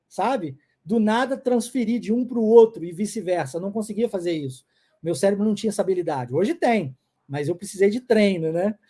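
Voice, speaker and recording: Middle-aged english teacher guy, grew up in the us, english his first language, fairly high mellow voice, keeps checking in with viewers. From United States. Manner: coaching viewers, checking in often. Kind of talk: live online lesson.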